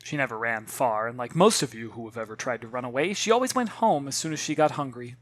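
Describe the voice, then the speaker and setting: An author narrating a children's novel in an expressive, theatrical way, addressing listeners directly. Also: medium pitch, fast talker.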